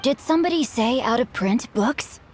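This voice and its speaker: A woman's voice: on the high side, lively intonation, moderate pace, darkish tone, a little hiss or hum, lightly acted. A woman voicing a polite, bookish teenage boy of noble manners in a video game, formal and articulate. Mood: courteous, eager. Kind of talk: video game character acting. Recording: faint music behind the voice.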